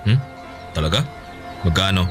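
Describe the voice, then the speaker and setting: Lively, teasing big brother. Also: male voice, sits low, moderate pace, over music. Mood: cheeky, wheedling. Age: young adult. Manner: joking, casual. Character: teasing, cheeky, carefree older brother. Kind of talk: Filipino radio drama acting.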